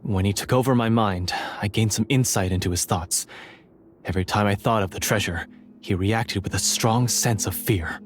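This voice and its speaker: A man voicing an earnest ally who escaped a sorcerer's control and speaks gravely. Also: lowish voice, normal speed, slight background noise, natural voice. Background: music.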